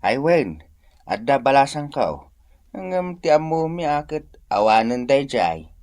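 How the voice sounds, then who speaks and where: An actor playing a humble, grateful old farmer uncle in an Ilocano radio drama, sighing and gentle, with an Ilocano accent. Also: male voice, mid-range voice, sing-song, a little slow, no echo. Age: older.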